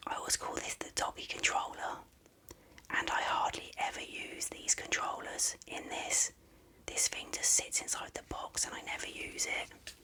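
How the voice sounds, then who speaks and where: A person whispering an ASMR unboxing as the video's host, in soft, unhurried, rambling commentary.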